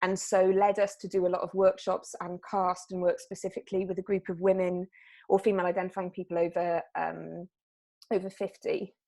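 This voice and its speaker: A woman artist from Wales, chatting casually in an interview and often pausing on fillers and restarting her sentences. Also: lowish voice, level tone, dark tone. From South Wales. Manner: hesitant, lots of fillers and restarts.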